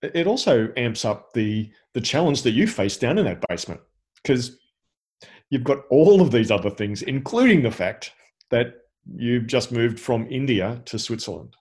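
A man's voice: medium pitch, sing-song, moderate pace, darkish tone. A man co-hosting a podcast, giving feedback in a casual, reflective and explanatory way. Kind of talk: casual discussion of storytelling.

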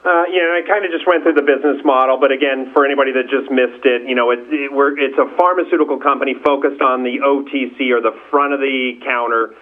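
A man answering a business question off the cuff as a company executive, in an informal, halting way. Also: medium pitch, fairly even intonation. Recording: narrow tinny phone line.

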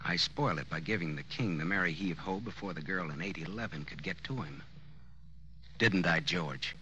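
An actor playing a wry, world-weary private detective, clipped and deadpan, in 1940s radio drama style. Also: male voice, deep voice, normal speed, slight background noise. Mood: wry, probing. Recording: dull old radio recording with music.

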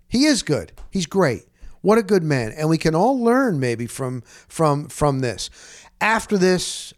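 Higher-voiced man hosting a casual podcast. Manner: rambling, emphatic, repeats phrases for stress. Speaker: podcast host. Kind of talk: casual conversational podcast introduction.

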